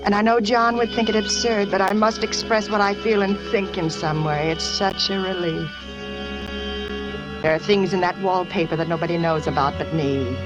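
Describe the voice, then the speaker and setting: An actress playing a nervous, fragile young wife sinking into madness, intense and confiding in the style of old American radio suspense. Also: female voice, medium pitch, fairly even intonation, moderate pace, music in the background, close to an everyday voice, rough recording. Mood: haunted, confiding. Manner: intense, anguished, confiding, dramatic. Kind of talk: 1940s-50s American radio suspense acting.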